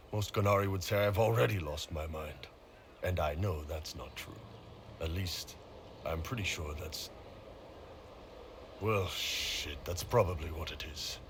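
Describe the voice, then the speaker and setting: Bright, gruff low-voiced horned warrior. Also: male voice, slight background noise. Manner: blunt, casual, swearing. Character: big gruff horned Qunari warrior, blunt and crude. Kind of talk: video game cutscene dialogue.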